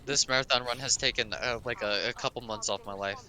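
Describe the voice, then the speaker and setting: A man's voice: medium pitch, steady tone, quick pace, sharp tone. A man co-commentating a live game speedrun with wry, jokey banter. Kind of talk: live casual gaming commentary.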